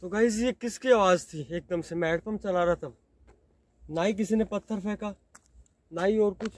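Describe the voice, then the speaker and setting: A young man vlogging a ghost challenge on a dark rural road at night, talking excitedly to his viewers from the road and from inside a car. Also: high-pitched, moderate pace. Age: young adult. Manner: excited, addresses viewers as guys. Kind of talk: ghost-hunting night vlog.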